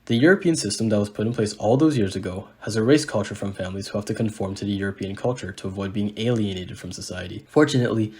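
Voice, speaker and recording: A man reading a scripted narration aloud in an even, read-out manner. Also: on the low side, fast talker, hardly any pauses. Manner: read-aloud, evenly paced.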